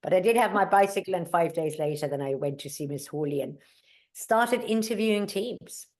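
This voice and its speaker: A woman's voice: fairly low pitch, animated, moderate pace, darkish tone. A middle-aged woman whose first language is German, telling stories in a casual interview with many fillers and asides. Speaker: mountaineer and guest.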